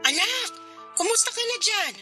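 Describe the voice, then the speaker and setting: A woman's voice: extremely high pitch, lively intonation, moderate pace, music in the background. A woman playing a casual, expectant middle-aged mother on a phone call in a Tagalog radio drama. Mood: reassuring, expectant.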